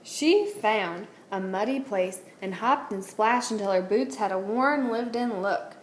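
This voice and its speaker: A woman's voice: mid-range voice, unhurried. Woman reading a storybook, echoey room. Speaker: teacher reading aloud. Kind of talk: children's storybook read-aloud. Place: indoors at a wooden table, book on camera. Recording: roomy echo, clear background noise.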